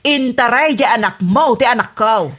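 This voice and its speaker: A woman with an Ilocano accent playing a quarrelsome mother in a radio drama, with a husky voice. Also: a little high, moderate pace, fairly bright tone. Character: sharp-tongued middle-aged mother Marta.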